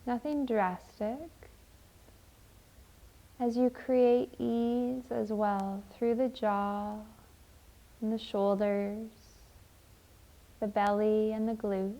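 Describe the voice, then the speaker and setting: A woman leading a guided meditation in a gentle, measured, deliberate way. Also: fairly high pitch, flat delivery. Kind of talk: slow, soothing guided meditation and poem reading.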